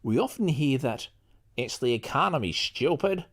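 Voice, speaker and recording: A man's voice: medium pitch, animated, moderate pace, husky. A middle-aged man hosting a podcast, chatting casually and reading aloud from a book. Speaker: podcast host.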